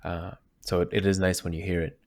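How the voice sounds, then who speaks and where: A man hosting a podcast, asking questions in a relaxed, conversational way. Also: deep voice, brisk. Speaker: podcast host. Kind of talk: casual interview questions.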